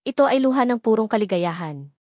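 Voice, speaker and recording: A woman's voice: a little high, animated, fairly quick, darkish tone, no echo, studio quality. A woman reading a scripted first-person romance story as narrator, in a dramatic storytelling manner.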